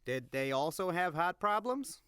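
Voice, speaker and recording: A man's voice: on the high side, very animated, measured pace, a bit bright, clear, resonant tone, dry recording, studio quality. A man playing a casual, nosy, slightly dim gang enforcer who rambles in an audio drama. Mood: curious, awkward. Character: laid-back, nosy, dim-witted gangland enforcer.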